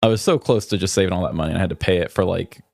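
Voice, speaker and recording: A low-voiced podcast co-host in a dry recording. The delivery is informal and conversational, suited to casual personal storytelling, with the odd swear word. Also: racing, gravelly, darkish tone, no echo.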